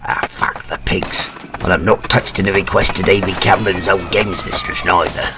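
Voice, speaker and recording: Bloke as a grumpy slangy british crook, gravelly, swoops around, comedy audio. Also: male voice, deep voice, huge pitch swings, normal speed, lo-fi sound, heavy background noise, exaggerated voice. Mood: irritated. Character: gruff, coarse burglar, irritable and slangy.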